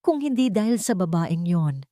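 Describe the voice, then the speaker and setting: A woman voicing a bitter, drunken former army officer in an audio story, hoarse and sullen. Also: lowish voice, sing-song, moderate pace, mellow, no echo, clean studio sound. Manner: sullen growl. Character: broken, drunken, bitter male ex-military officer.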